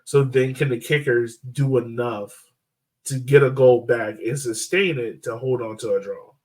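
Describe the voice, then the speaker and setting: A man's voice: medium pitch, steady tone, a little slow, darkish tone. A man hosting a sports podcast in casual, conversational soccer chat.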